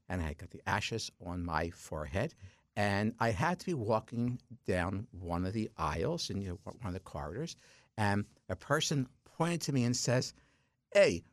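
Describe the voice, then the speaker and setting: A man's voice: fairly low pitch, a bit bright, no echo, studio quality. A man in his sixties, a deacon guest on a radio talk segment, chatty and self-mocking, telling stories with frequent stumbles and restarts. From South Jersey, US. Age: 60s.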